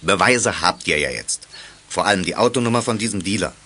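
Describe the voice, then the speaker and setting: A deep, bright-voiced stern father. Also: animated, slightly rough, heavily acted. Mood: admonishing. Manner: admonishing, measured, explanatory. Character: stern, caring middle-aged father of young sleuths. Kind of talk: German radio play acting.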